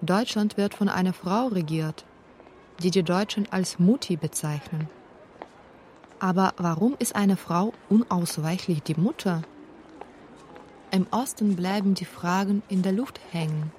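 A woman's voice: lowish voice, darkish tone, a little hiss or hum. A woman reading scripted narration for a radio documentary. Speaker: narrator and author of a radio feature.

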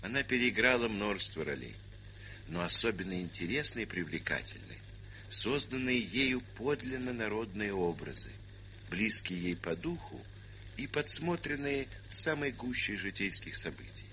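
A male narrator with a lowish but very bright, forceful voice. He delivers scripted text formally and declaims it like literature. The old recording carries a faint hiss. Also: lively intonation, normal speed. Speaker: narrator, presenter. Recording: hissy, crackly vintage 1970s record.

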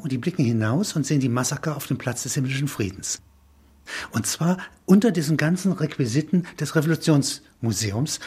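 Man, very husky breathy voice, mid pitch, reflective intellectual talk, halting musing phrasing. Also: darkish tone. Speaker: interviewee, filmmaker.

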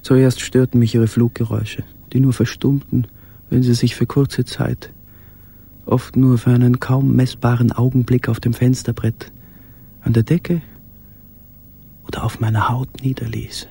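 Dark, husky, solitary man in hiding. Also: mid-range voice, unhurried. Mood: subdued, absorbed. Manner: monotone, introspective, subdued. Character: confined, solitary man hiding in a cellar, quietly obsessive. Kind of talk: radio play monologue, literary reading of a letter.

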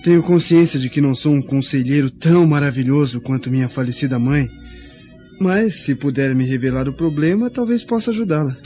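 A man playing a kind, sensitive Roman nobleman who gently reassures his sister-in-law in a radio drama. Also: fairly high pitch, a little fast, lightly acted. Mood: caring. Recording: dull lo-fi recording with music.

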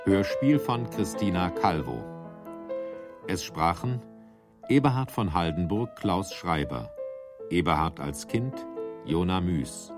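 A man's voice: sits low, animated, takes it slowly, long pauses. A man reading credits as a radio announcer in a formal, scripted style. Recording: prominent piano music under voice. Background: loud piano music.

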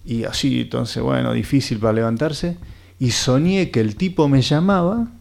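Man playing muddled anecdote teller, Argentine accent, creaky voice, halting and repetitive, conversational radio drama. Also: mid-range voice, a little mellow, lightly acted. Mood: rambling, hesitant. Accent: Rioplatense Argentine. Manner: hesitant, rambling, repeats himself. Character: muddled, dreamy man telling rambling anecdotes.

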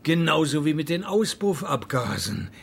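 An actor playing a dry, sardonic male private detective in a radio play, narrating and talking in a deadpan, needling way. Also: fairly high pitch, normal speed, breathy edge.

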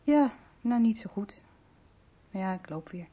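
Muted, husky woman, concerned. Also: mid-range voice, animated, measured pace, dark tone. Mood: subdued, worried. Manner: concerned, questioning. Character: caring, inquisitive woman friend in a hospital bed. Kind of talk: radio soap acting.

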